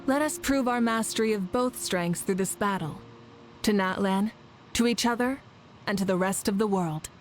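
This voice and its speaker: A woman's voice: medium pitch, moderate pace, slight background noise, natural voice. A woman voicing a confident, composed warrior ruler in a game cutscene, speaking steadily and resolutely. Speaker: game character.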